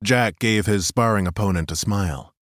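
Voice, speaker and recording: Deep-voiced male audiobook narrator. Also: moderate pace, no room sound, studio quality. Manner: steady, even storytelling. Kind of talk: scripted fantasy narration.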